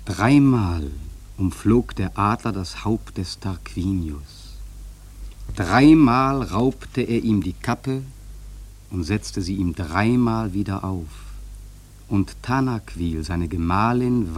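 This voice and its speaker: Husky, grave sailor narrator. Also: male voice, lowish voice, darkish tone, exaggerated voice. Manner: measured, solemn, with dramatic swells. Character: grave male sailor narrating a haunted whaling voyage. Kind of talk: dramatic literary narration for radio play.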